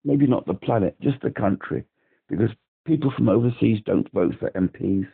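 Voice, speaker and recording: Gravelly British man on a phone line. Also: on the low side, moderate pace. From Britain. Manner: rambling, agitated, repeats himself. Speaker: caller. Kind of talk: phone call to a helpline. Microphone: telephone.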